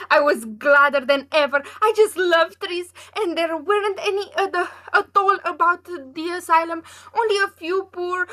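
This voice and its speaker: A woman's voice: very high-pitched, sharp tone, voice pushed up, exaggerated voice. A woman voicing a talkative, dreamy, dramatic eleven-year-old orphan girl from a storybook, effusive and rambling with stumbles. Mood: eager, chatty. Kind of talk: audiobook character reading.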